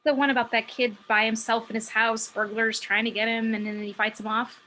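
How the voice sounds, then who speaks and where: Woman playing bright, sassy, high-voiced muse. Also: flat delivery, brisk, low-quality recording. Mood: boastful, wry. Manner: sardonic, brash. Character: sassy, cocky female muse working as a stripper. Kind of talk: amateur table read of a film script.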